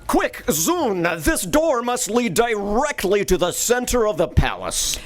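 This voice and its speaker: A heroic space adventurer, played by a man in an old-time radio play, earnest and a bit flustered, stumbling over words. His voice is high and swoops widely, with slow, drawn-out lines. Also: big pitch swings, soft background music, exaggerated voice. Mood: eager. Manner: hesitant, stammering, theatrical. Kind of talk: live community radio play acting.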